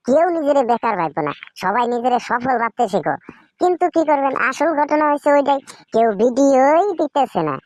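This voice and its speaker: A woman's voice: sits high, no room sound, lightly acted. A woman voicing a flustered, grumbling cartoon boss in a colloquial eastern Bengali dialect. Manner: grumbling, colloquial, rhetorical questions. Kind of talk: comic cartoon skit dialogue.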